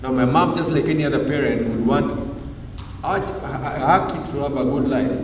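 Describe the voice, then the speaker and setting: A man's voice: mid-range voice, normal speed, heavy reverb, in a large hall, distant mic, slight background noise, poor audio. A man with a Kenyan accent telling stories from his life to a live audience in a casual, engaging way. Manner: casual, engaging the crowd with questions. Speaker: guest speaker. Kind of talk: storytelling talk to a live audience.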